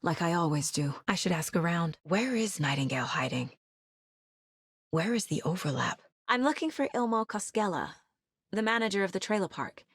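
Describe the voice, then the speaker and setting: An actress playing a determined, focused female FBI agent in a video game, with a serious, measured investigator's delivery. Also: fairly low pitch, very animated, slightly rough, no echo, clean studio sound, full character voice. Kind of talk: scripted video game dialogue and inner monologue.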